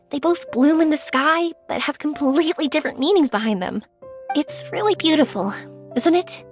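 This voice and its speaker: A cheerful young woman from an anime game, high in pitch and lively in her swings. She speaks with earnest, bubbly sincerity, with light music behind her. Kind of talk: anime video game voice acting.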